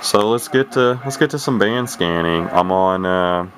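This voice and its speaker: A man's voice: sits low, measured pace, background noise. A man giving a casual, unscripted hobbyist walk-through of equipment in a rambling, matter-of-fact way. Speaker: radio hobbyist presenting a receiver. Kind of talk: casual unscripted demonstration talk.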